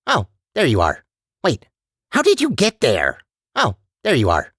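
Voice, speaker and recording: A man playing a chatty, anxious robot personality core in a video game, guiding the player in an expressive, conversational and theatrical way. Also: mid-range voice, unhurried, husky, no echo, studio quality, heavily acted. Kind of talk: animated video game voice acting.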